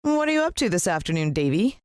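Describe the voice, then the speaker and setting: A woman's voice: lowish voice, very animated, fast, a little mellow, clean tone, no echo, studio quality, voice pushed up. An American woman voicing a caring, practical mother in a children's audiobook, with a motherly, attentive manner. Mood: curious.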